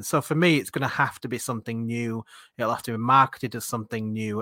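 A man's voice: medium pitch, a bit bright, dry recording. Man hosting a casual podcast, close and dry. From northern England. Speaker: podcast host.

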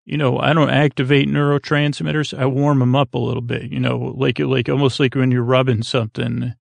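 A man with a gravelly voice hosting a sleep podcast, rambling whimsically and haltingly, often trailing off and circling back. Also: mid-range voice, flat delivery, no room sound. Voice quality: very creaky, gravelly. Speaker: podcast host.

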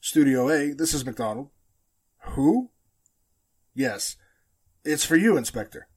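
A man's voice: mid-range voice, animated, takes it slowly, a little mellow, breathy edge. A man acting a grim, shaken radio studio man in a 1940s-style radio drama, blunt and somber.

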